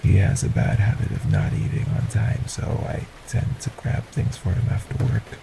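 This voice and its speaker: A man voicing a polite, sinister male stranger in an audio roleplay, speaking formally and courteously with a soft, breathy menace. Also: lowish voice, steady tone, moderate pace, very noisy. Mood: smooth, courteous.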